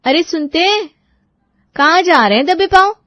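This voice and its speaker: A woman's voice: extremely high pitch, animated, unhurried, fairly bright tone, no room sound, lo-fi sound, pitched above the performer's own voice, full character voice. A woman voicing a bossy, suspicious young wife who scolds and insists in a comic audio story. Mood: suspicious scolding.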